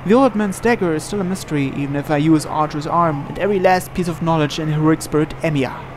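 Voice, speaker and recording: A man's voice: fairly high pitch, fast, noisy background. A man reading visual novel narration aloud dramatically, as a let's player.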